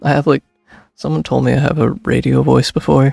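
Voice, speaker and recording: Casting: young man, game streamer, strongly creaky voice, casual commentary, dry sound with faint music behind. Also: mid-range voice, flat delivery, brisk, darkish tone, no room sound.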